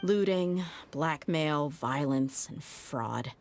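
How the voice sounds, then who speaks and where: A woman's voice: low-pitched, animated, extremely slow, slight background noise, exaggerated voice. A woman voicing a tough, proud young desert mercenary in an anime video game, frank, self-assured and cool-headed. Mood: bitter, reflective.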